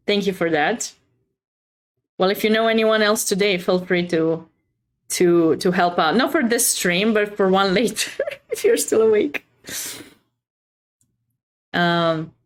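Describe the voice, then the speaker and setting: A woman with a Romanian accent hosting a chess livestream, chatting casually and expressively with viewers between bits of commentary. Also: mid-range voice.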